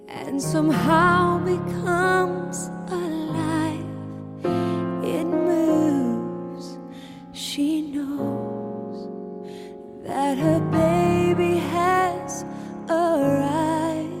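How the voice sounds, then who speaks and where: A woman singing a slow, lullaby-like song. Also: extremely high pitch, steady tone. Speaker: singer. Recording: singing over prominent music.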